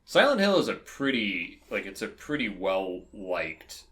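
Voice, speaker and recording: A man co-hosting a casual film critique chat, talking in a halting, rambling way. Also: on the low side, sing-song, a bit bright. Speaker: podcast co-host.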